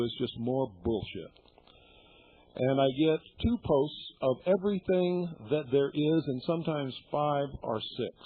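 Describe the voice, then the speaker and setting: A man's voice: medium pitch, husky. A middle-aged man hosting a radio show, talking bluntly and indignantly to his listeners and swearing freely. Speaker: radio host. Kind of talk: shortwave radio monologue. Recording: tinny shortwave radio broadcast.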